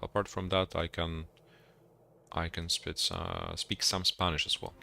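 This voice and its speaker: A young man from Poland with a Polish accent, a native Polish speaker chatting and commentating casually as a game live streamer in a rambling, halting way. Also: low-pitched, level tone, vocal fry, pushed and bright. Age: young adult. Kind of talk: casual gaming commentary and chat. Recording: noisy game battle sounds behind voice. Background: artillery fire and vehicle game sounds.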